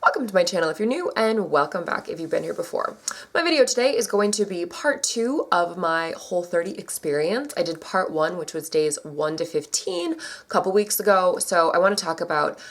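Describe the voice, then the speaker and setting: Young woman vlogging, low gravelly voice, kinda scratchy from allergies, chatty w lots of likes and ums. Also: a little fast. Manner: chatty, many fillers, animated pitch jumps. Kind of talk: casual chat to camera.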